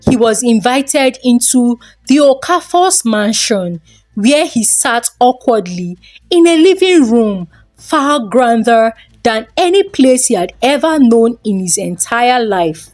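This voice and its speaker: A woman's voice: a little high, sing-song, slow, a little mellow, faint music behind. A woman storyteller giving a dramatic scripted narration of a folktale.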